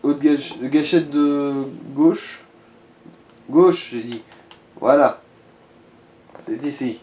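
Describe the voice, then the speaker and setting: A man's voice: fairly high pitch, drawn-out words, darkish tone, echoey room. A man giving casual game advice in an informal, crude-mouthed way. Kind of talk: casual gaming chat.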